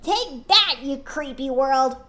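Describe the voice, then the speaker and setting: Brash, sporty young mare pony, punchy and slow-drawn, a woman doing the voice. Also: high-pitched, lively intonation, pushed and bright, voice pushed up, full character voice. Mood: triumphant.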